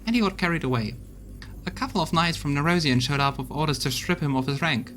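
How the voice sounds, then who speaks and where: A man voicing a rough-tongued, loyal crusade soldier in a fantasy game, talking in a blunt, folksy, gossipy way. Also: on the high side, quick pace, a bit bright, faint music behind, lightly acted. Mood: gossipy, exasperated. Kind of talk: voiced fantasy role-playing game dialogue.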